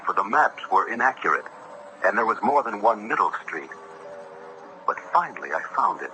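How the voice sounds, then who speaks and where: An actor playing an earnest young judge who narrates in a literary, measured, suspenseful way for a gothic radio drama. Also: male voice, lowish voice, rough recording. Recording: vintage radio recording with music behind. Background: music.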